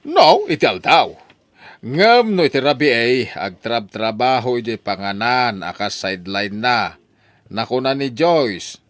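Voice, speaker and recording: A man's voice: medium pitch, fairly bright tone, dry recording, natural voice. A man with an Ilocano accent playing a concerned older brother, passing on news in a chatty way in a radio drama. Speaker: elder brother.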